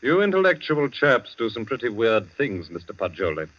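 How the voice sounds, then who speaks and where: A muffled vintage radio drama recording of a stern male police chief. His voice sits at a middle pitch, and he questions formally and methodically, with sardonic insinuation in the 1940s radio manner. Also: big pitch swings, moderate pace. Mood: sardonic, probing. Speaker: radio actor.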